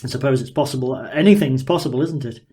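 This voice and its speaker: A man voicing an excitable, sneering, slightly unhinged inventor in audiobook dialogue, taunting and full of questions. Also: medium pitch, quick pace, natural voice. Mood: taunting, eager.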